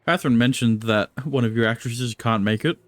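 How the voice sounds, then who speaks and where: A man's voice: medium pitch, fairly quick, dry recording. A man streaming a game, improvising casual, joking replies to the dialogue with a stammering delivery.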